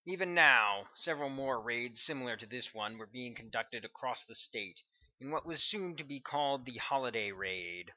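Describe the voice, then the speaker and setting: A man reading his own novel aloud as scripted audiobook narration, at a middling pitch. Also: animated, moderate pace. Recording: dull, lo-fi sound.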